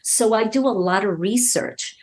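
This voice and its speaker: A woman talking casually as an interview guest and telling anecdotes, emphatic and halting, often repeating words as she goes. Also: medium pitch, a little mellow. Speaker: podcast guest, audio drama creator and voice actor.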